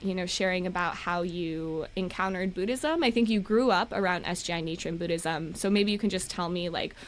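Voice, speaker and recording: A woman's voice: fairly low pitch, fairly quick. A woman hosting a podcast, talking in a chatty, friendly interview manner. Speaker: podcast host. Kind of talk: casual interview.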